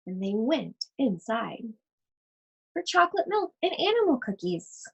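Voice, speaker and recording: A woman teacher reading a picture book aloud to children, expressive and speaking directly to her young listeners. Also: a little high, measured pace, vocal fry, slightly rough, poor audio. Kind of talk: children's read-aloud with chatty asides.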